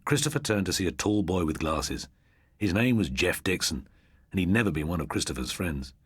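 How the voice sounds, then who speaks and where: A low-voiced British man reads a ghost story as an audiobook narrator. His delivery is dramatic and swings widely in pitch, with a husky grain. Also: animated, fairly quick, fairly bright tone, no room sound.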